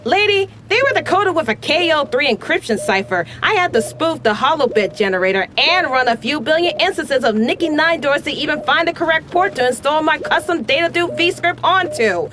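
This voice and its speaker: A woman playing a brash, sarcastic hacker in a heist crew for an animated audio drama. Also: sits high, brisk, hardly any pauses, noisy background. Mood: indignant, boastful. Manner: sarcastic, brash, rattles off jargon. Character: cocky, snappy young female hacker in a heist crew.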